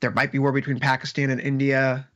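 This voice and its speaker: A man's voice: medium pitch, monotone, quick pace, fairly bright tone, muffled recording. A man hosting a casual markets talk show, chatting loosely with guests and wrapping up the segment. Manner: joking, wraps up the show. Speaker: show host. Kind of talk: casual panel chat on markets.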